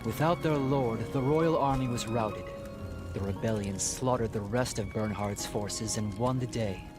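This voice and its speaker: An actor playing a solemn, measured man who recounts a war formally in a fantasy game. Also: on the low side, music in the background, close to an everyday voice. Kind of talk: dramatic storytelling in a fantasy game cutscene.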